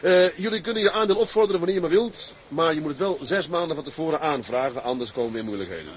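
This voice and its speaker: Man acting a plain-spoken sea captain, higher-pitched. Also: lively intonation, fast, a little hiss or hum, natural voice. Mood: matter-of-fact. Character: plain-spoken, genial sea captain leading his crew. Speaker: actor. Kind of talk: Dutch radio drama acting.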